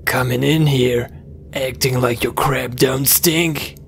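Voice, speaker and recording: Man putting on a hushed, drawling menacing thug. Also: mid-range voice, fairly even intonation, creaky, background noise, pitched above the performer's own voice. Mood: venomous, threatening. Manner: slow, drawn-out threats. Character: menacing stocky man in a haunted wood, venomous.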